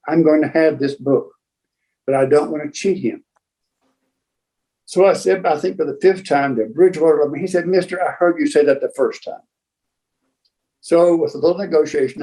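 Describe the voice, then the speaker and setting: An older man telling casual anecdotes as a guest book collector, in a rambling, halting way. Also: on the high side, dark tone, poor audio. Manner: rambling, halting raconteur who acts out remembered exchanges. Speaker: museum founder and book collector, guest storyteller.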